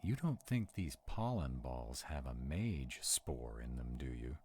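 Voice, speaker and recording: A man playing a sarcastic, protective vampire in a roleplay audio drama, wary and quick-witted, talking to unseen companions. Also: extremely low pitch, animated, a little mellow, slight background noise. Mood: wary, uneasy.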